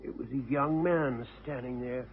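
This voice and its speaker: An actor playing a kindly, worried older English boarding house keeper who stammers hesitantly in a radio drama. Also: male voice, medium pitch, moderate pace, slight background noise, lightly acted. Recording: tinny old radio broadcast.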